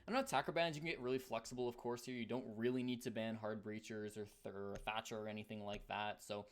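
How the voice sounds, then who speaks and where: A man's voice: fairly low pitch, fairly even intonation, fast. A man with a Canadian accent giving live esports commentary in a conversational, analytical way as a caster.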